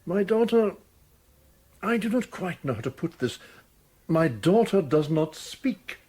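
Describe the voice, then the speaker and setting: An actor playing an anxious Victorian gentleman father in a period audio drama, speaking formally and hesitantly. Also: high-pitched, big pitch swings, unhurried. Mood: awkward.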